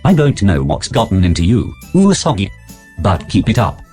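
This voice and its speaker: A woman plays a dry, mildly suspicious mother in an anime parody audio drama. Her voice is extremely low yet animated, with faint music behind. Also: normal speed. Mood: dry, surprised.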